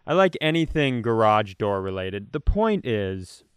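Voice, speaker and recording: A man's voice: fairly low pitch, big pitch swings, a little slow, clear, resonant tone, dry recording. An American man co-hosting a podcast in casual, comedic conversation. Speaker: podcast co-host.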